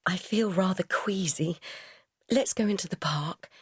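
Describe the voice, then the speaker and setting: A woman's voice: on the low side, a little slow, slightly rough, no room sound, clean studio sound. A woman voicing an earnest, respectable young secretary, polite and pleading, in an audiobook. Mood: anguished, pleading. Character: anguished, respectable young English secretary in secret love affair.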